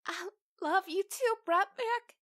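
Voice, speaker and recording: A woman voicing a tender, guilt-ridden young female pony in an emotional fan-made audio drama, halting and pleading. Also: extremely high pitch, fairly bright tone, heavily acted. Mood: tearful love. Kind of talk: amateur fan-fiction audio drama acting.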